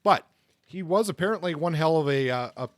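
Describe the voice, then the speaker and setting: A man hosting a podcast, narrating informally in a halting, conversational way. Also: fairly high pitch, lively intonation, no room sound, studio quality. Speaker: podcast host.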